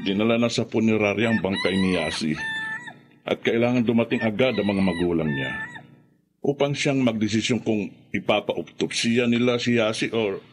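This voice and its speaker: An actor playing a concerned, sorrowful middle-aged father in a Filipino radio horror drama, speaking gravely. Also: fairly low pitch, normal speed, noisy background, close to an everyday voice.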